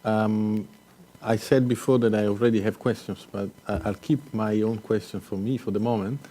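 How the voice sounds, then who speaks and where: Low mellow guy moderating a panel, polite, a bit hesitant. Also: fairly even intonation, normal speed. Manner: hesitant and courteous, with many fillers. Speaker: session moderator. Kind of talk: chairing a panel discussion.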